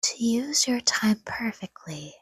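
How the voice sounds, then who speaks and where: A woman softly guiding a sleep meditation in a hushed, breathy whisper, with gentle, soothing delivery in short phrases and long pauses. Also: fairly high pitch, very animated, no echo. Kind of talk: guided sleep meditation, scripted, soft-spoken.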